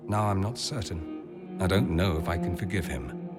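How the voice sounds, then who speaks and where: A man's voice: low-pitched, normal speed, close to an everyday voice. A man playing a proud, wry, wounded nobleman in a dramatic video game cutscene, with a refined, theatrical delivery. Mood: bitter, wounded. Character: proud, wounded younger nobleman estranged from his father, wry. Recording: long echoing hall, music underneath.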